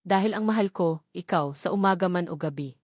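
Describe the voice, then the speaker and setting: A woman voicing an earnest, devoted young man, a company boss, speaking calmly in a storybook romance. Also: fairly low pitch, fairly quick, no room sound, studio quality, natural voice. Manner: calm, earnest.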